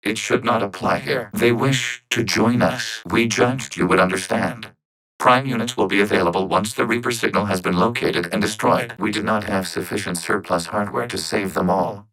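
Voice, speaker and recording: An actor playing a calm, logical synthetic robot in a video game, speaking in measured, formal phrases through robotic voice processing. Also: male voice, lowish voice, dry recording, rough recording. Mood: earnest, subdued. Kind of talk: video game character dialogue.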